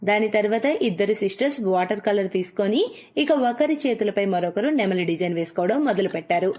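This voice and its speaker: A woman's voice: mid-range voice, expressive, fairly quick, a little mellow. A woman narrator reading a scripted moral story for children.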